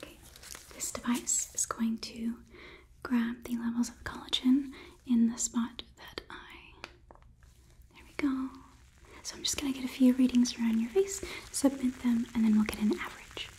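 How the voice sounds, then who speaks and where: A young woman as a female robot overlord whispers in an ASMR roleplay, examining a human. The voice is soft and mellow, clinical and gently condescending, delivered close to the microphone. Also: a little high, steady tone, moderate pace, close to an everyday voice. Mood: clinical, explaining. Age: 20s. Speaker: ASMR performer. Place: small indoor studio set. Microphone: close microphone near camera.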